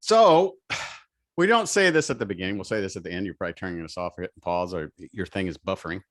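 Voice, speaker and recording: A man's voice: low-pitched, animated, fast talker. A man from Indiana with an American accent, co-hosting a casual chat show in a jokey, rambling way. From Indiana, United States. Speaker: show co-host. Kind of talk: casual chat about favorite books.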